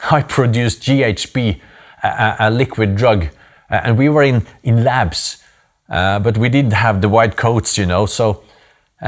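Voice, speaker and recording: A man in his forties from Norway with a Norwegian accent, giving an earnest, deliberate personal story talk with pauses for emphasis. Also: on the low side.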